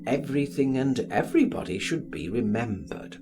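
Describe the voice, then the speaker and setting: A man reading an audiobook in an expressive, theatrical and measured literary style. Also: mid-range voice. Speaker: audiobook reader. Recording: music behind the voice. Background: music.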